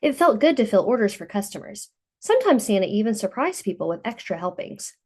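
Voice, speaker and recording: Animated, mellow woman reading a storybook. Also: medium pitch, brisk, slightly rough. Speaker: storybook reader for children. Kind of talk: read-aloud storytelling for young children.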